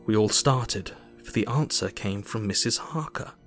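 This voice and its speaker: An actor playing a grave Victorian doctor who narrates his diary in a formal, measured, literary manner. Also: fairly low pitch, close to an everyday voice. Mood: grave, reflective. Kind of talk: scripted audio drama narration. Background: music bed.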